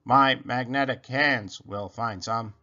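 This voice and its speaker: A polite, unflappable robot, acted by a man, stiff and forceful in delivery. The words come out slowly and deliberately, as in a storybook read aloud, with slightly muffled sound. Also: mid-range voice. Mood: calm, reassuring. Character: calm literal-minded male robot, polite and unflappable.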